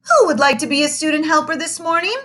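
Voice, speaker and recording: A woman's voice: high voice, quick pace, bright, forceful tone, pitched above the performer's own voice. A woman voicing a male schoolteacher giving instructions to his class. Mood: instructive.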